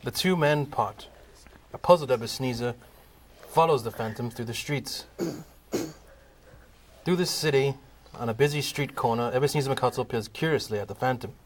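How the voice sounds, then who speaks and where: A man narrating the stage directions of an amateur comedy radio play in a plain, steady reading voice. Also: medium pitch, normal speed, long pauses, slight background noise.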